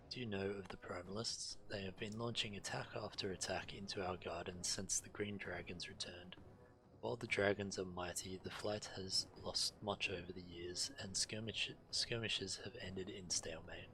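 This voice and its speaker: Deep, bright-voiced man reading aloud. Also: fairly even intonation, normal speed, poor audio. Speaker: game streamer. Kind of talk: reading quest text aloud during a game stream. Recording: game music behind the voice. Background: video game music.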